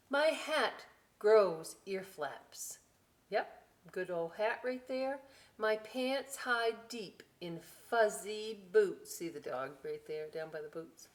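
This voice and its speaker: A woman reading aloud to children as a story time host, expressive and drawn out, with chatty conversational asides. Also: fairly low pitch. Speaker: library story time reader.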